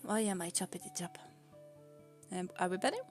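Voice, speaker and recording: A woman's voice: lowish voice, a little fast, soft background music. A woman with a Swedish accent, a native Swedish speaker, chatting casually on a stream, rambling and giggling as she talks. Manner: rambling and giggly, often laughing mid-sentence. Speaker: VTuber streamer.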